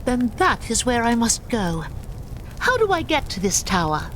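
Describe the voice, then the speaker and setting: Brief: a noble elf heroine, fairly high voice, lively inflection, formal and unbending. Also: female voice, a little hiss or hum. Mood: resolute. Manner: formal, determined, measured speech. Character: resolute elf warrior woman, noble and driven. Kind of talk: game cutscene voice acting. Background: orchestral game music.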